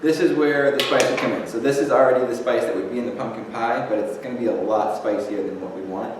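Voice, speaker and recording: A man's voice: mid-range voice, steady tone, fast talker, background noise. A young man, a librarian, casually walking through a recipe step by step in a kitchen, with lots of fillers. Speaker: teen librarian. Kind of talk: cooking demonstration. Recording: echoey kitchen, far mic, clattering dishes.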